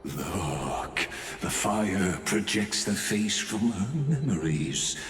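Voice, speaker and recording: Very deep, drawn-out theatrical villain. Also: male voice, expressive, fairly bright tone, heavily acted. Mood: solemn, challenging. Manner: slow, deliberate, pompous, theatrical. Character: ominous, theatrical male villain, grandly philosophical and condescending. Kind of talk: dramatic game character monologue. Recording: echoey hall reverb, music underneath.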